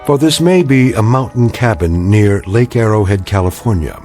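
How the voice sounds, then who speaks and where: An actor playing the wry, portentous narrator of an eerie radio drama, speaking with deliberate menace. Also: male voice, fairly low pitch, lively intonation, dark tone, faint music behind, natural voice. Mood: ominous, wry. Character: ominous, wry male narrator of uncanny tales.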